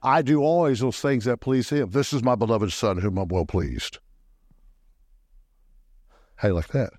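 A male preacher delivers an animated sermon in a medium-pitched voice. He often jumps his voice upward for emphasis. The sound is dry and close, with little room echo. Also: moderate pace. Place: church. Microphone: microphone.

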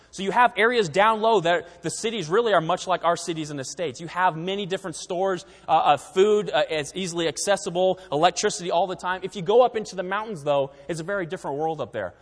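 A man's voice: high-pitched, long reverb tail. A young man raised in Taiwan, giving a missionary slide presentation to a church in an earnest, conversational way with frequent fillers. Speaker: missionary speaker.

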